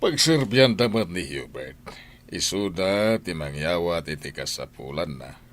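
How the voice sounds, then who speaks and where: A man with a Filipino Ilocano accent playing a kindly, calm master of the house in a radio drama. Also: low voice, unhurried, heavily acted. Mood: easygoing.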